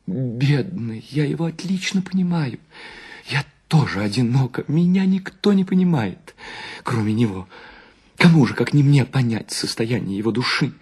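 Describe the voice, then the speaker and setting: A man's voice: medium pitch, expressive, moderate pace, a little mellow, slightly rough, pitched above the performer's own voice. A man voicing a vain, romantic married woman in a story reading, eager beneath feigned indifference. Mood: tender self-pity.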